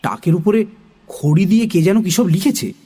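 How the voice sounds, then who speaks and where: A man narrating a children's story in an expressive, theatrical way, also speaking as the young boy in it. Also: high voice, normal speed, breathy edge. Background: music.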